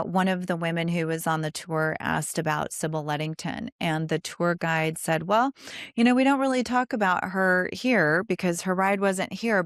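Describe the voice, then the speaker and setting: Female podcast host, lower register, earnest and emphatic, close dry sound. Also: no room sound. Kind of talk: conversational interview.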